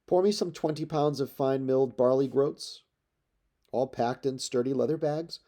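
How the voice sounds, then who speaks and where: Young epic hero played by a man: princely, resolute, earnest, giving orders in a lifted, mellow voice. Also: medium pitch, normal speed, pitched above the performer's own voice, natural voice. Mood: urgent, instructing.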